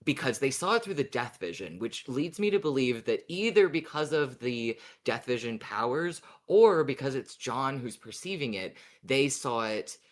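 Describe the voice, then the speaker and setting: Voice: mid-range voice, big pitch swings, moderate pace, hardly any pauses. A podcast co-host in casual, speculative fan chat, musing and thinking aloud.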